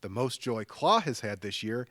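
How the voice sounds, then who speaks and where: A man plays a sardonic but supportive older brother in an audio drama. He teases drily, with lively swings in a mid-pitched voice. The recording is close and dry. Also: normal speed, no echo. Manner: dry, teasing humor.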